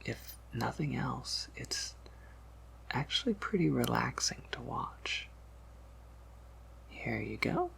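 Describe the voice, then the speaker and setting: A man playing a soft-spoken vampire apothecary in a whispered ASMR roleplay, patiently explaining in a measured, slightly wry and knowing way. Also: medium pitch, sing-song, exaggerated voice. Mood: calm, instructive. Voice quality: breathy whisper with creaky undertone.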